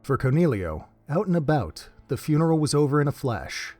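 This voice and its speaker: A man's voice: a little high, big pitch swings, a little fast, a little mellow, soft background music. A man reading scripted narration for an audio drama, telling the story in prose.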